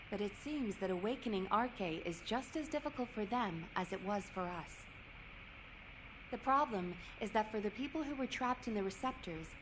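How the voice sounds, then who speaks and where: A woman's voice: medium pitch, lightly acted. A woman playing a worried, formal military operations officer in a video game, speaking earnestly and articulately. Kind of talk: scripted video game dialogue. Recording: noisy game capture with ambient sound.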